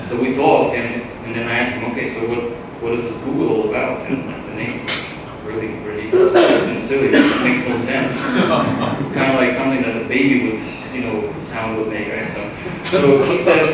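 A middle-aged man from Russia, a startup CEO, telling a casual story to an audience with lots of fillers and restarts. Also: mid-range voice. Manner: chatty, many fillers and restarts. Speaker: tech startup CEO giving a talk. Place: small conference room with audience. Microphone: far camera, no mic on him. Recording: very echoey room from far off, audible noise.

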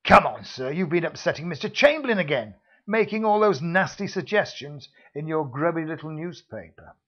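A man's voice: a little high, sing-song, normal speed, a bit bright. A man voicing a menacing male secret-service heavy who bullies with mock politeness, on a dull lo-fi recording. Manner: mock-polite, sneering, threatening.

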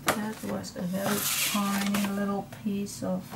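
A woman's voice: medium pitch, little pitch movement, unhurried. A middle-aged Dutch woman with a Dutch accent, hosting a casual, chatty craft tutorial and often murmuring to herself as she works. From Netherlands. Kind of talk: casual chatty hands-on demonstration. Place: indoor craft table. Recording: clearly noisy room recording.

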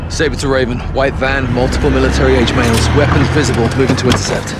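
An actor playing a young, determined police sergeant in a video game, terse and resolute. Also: male voice, medium pitch, level tone, fast talker, heavy background noise, lightly acted.

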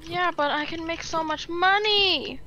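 A woman chatting casually with fellow gamers, slangy, sweary and excitable. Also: very high voice, a little slow, slight background noise. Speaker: gamer in a co-op group. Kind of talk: casual gaming chat.

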